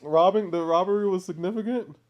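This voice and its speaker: A man's voice: high voice, a little fast, noisy background. Playful man with a Boston accent. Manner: playful, animated storytelling, teasing. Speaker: podcast co-host. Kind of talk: casual chat.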